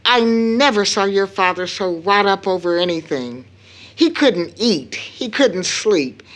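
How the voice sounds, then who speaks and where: Actress as middle-aged mother for audio drama: grave, anguished, very unhurried, with wide emotional swings in pitch. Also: animated, lightly acted. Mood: solemn, intense recounting. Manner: solemn, deliberate, emphatic. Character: grave, anguished mother baring a long-kept family secret. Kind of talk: stage audio drama acting.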